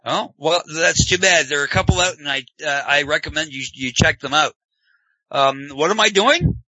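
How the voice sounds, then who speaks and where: A man's voice: fairly high pitch, moderate pace, sharp tone, husky, no room sound. A man from Canada hosting a casual talk show, joking and sarcastic. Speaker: podcast host. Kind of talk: casual chat. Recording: dull lo-fi dry sound.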